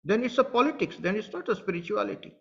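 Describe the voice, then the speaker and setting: Indian man giving a high, earnest talk. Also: lively intonation, fast, vocal fry, lo-fi sound, slight background noise. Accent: Indian English. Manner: earnest, repetitive phrasing, direct address. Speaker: spiritual teacher. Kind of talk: satsang talk to online followers.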